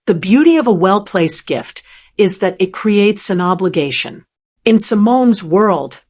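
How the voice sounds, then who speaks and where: A woman in her sixties narrating a first-person story in a calm, measured, wry manner. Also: medium pitch. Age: 60s.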